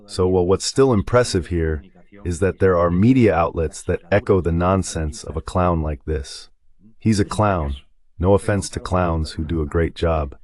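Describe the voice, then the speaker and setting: A man's voice: sits low, darkish tone. A man voicing informal, sardonic political commentary as a translated guest.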